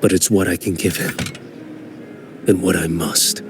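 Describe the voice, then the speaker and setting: An actor voicing a calm, grave swordsman wanderer in a video game, speaking in a measured, solemn way. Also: sits low, heavy background noise. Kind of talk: game voice acting. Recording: game audio with music underneath.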